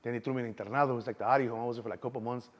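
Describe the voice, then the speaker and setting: A man in his thirties with a Chicago accent talks fast and loose as an interview guest. His voice sits at a middle pitch, and he keeps dropping in "you know what I'm saying" as he goes. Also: fairly even intonation, lo-fi sound. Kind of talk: casual street interview.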